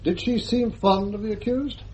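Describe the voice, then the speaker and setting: Man playing a pompous, mellow-voiced English judge. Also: high-pitched, steady tone, normal speed, natural voice. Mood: stern. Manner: pompous, drily sarcastic. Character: haughty, sceptical male judge presiding over a trial. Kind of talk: radio courtroom drama acting. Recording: dull, noisy archive radio recording.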